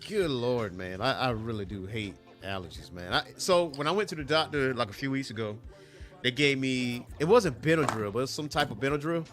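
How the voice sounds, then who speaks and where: Male co-host, bright tone, congested, easygoing rambling talk. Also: medium pitch, animated, fairly quick, soft background music. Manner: laid-back, rambling, laughs easily. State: stuffy nose from allergies. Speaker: podcast co-host. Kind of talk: casual chat.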